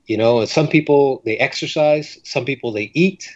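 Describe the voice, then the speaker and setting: A man speaking as a writer being interviewed, thoughtful and halting, with frequent reflective pauses. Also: medium pitch. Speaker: guest fiction writer. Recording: dull lo-fi recording.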